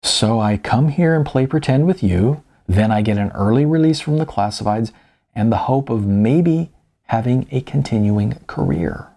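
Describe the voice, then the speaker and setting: A man's voice: medium pitch, expressive, normal speed, vocal fry, mellow. A man reading his own novel aloud as first-person audiobook narration.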